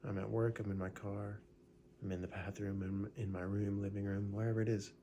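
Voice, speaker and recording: A man leading a guided gratitude meditation in a relaxed, conversational way, often adding "right?" and small fillers. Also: fairly low pitch, fairly quick, dull audio, slight background noise.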